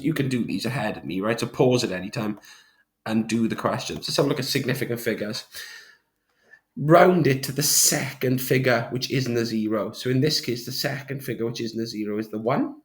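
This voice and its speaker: A male maths teacher with a hoarse voice from a sore throat, talking students through a revision sheet step by step. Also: mid-range voice, normal speed. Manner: step-by-step explanations, checking with "right?". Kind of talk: recorded revision walkthrough.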